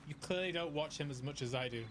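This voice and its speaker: A man's voice: fairly high pitch, quick pace, noisy background. A man from northern UK with a mild British accent, chatting casually and laughing easily while live streaming a game. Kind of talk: live gameplay chat. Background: game music.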